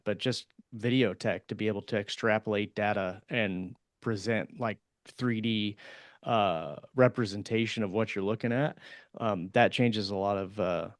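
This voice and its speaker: Low-voiced man chatting, dry close sound. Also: dry recording. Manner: loose, conversational, peppered with "like". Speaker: podcast co-host and fiction writer. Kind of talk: casual chat.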